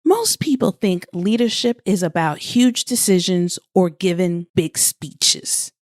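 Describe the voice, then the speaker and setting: A woman hosting a scripted motivational podcast as a coach, speaking deliberately phrase by phrase straight to the listener. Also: lowish voice, expressive, darkish tone, no room sound, clean studio sound. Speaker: podcast host and life coach.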